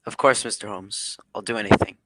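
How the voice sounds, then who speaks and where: A man's voice: lowish voice, steady tone, a little fast, very noisy, lightly acted. A man playing an earnest, devoted young lover in an old-time radio drama, eager to please. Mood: obedient.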